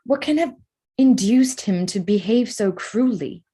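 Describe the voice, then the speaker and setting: A woman playing a candid, spirited young gentlewoman in a staged period drama reading, forthright and earnest. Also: fairly high pitch, expressive, a little slow, slightly rough. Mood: shocked, indignant.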